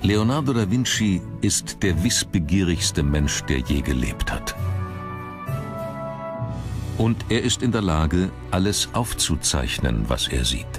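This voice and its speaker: A man's voice: deep voice, very animated, takes it slowly. A man reading scripted documentary narration as the narrator. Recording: music under the voice. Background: background music.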